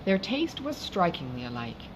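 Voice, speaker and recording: A woman narrates a classic novel in a fairly low voice. Her delivery is formal and expressive, with lively movement in pitch. Noticeable noise runs behind the recording. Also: normal speed. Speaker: audiobook reader.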